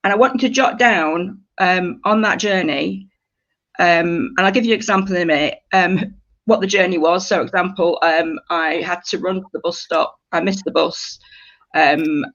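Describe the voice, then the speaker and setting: Creaky-voiced woman leading a workshop. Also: lowish voice, normal speed, a bit bright, highs cut off. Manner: encouraging, explains by example. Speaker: creative writing workshop leader. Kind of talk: informal workshop instruction.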